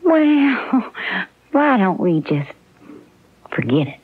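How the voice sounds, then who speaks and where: An actress playing a devoted, emotional young wife in a 1970s radio drama. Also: female voice, fairly high pitch, very animated, very slow, husky, soft background music, exaggerated voice. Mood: tender, coaxing. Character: devoted young wife, hurt yet tender. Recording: narrow-band vintage radio recording.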